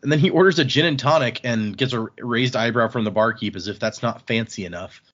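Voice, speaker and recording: A man's voice: mid-range voice, brisk, a bit bright. Casual podcast guy, slightly muffled sound. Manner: conversational, swearing, acts out characters' dialogue casually. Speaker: podcast host recapping a novel. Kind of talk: casual book recap chat.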